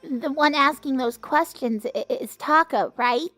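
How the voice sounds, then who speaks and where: A woman voicing a gentle, earnest AI computer program in an anime fan dub. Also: sits high, unhurried, no echo, faint music behind.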